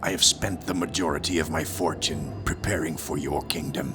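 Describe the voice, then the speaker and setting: A man's voice: extremely low pitch, fairly even intonation, normal speed, over music. A man playing a devout, wealthy villain who speaks solemnly and formally in a comic dub. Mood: solemn devotion.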